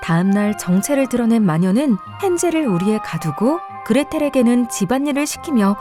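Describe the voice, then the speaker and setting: A woman's voice: on the high side, very animated, brisk. A woman narrating scripted fairy tales as a storyteller for children. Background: faint music.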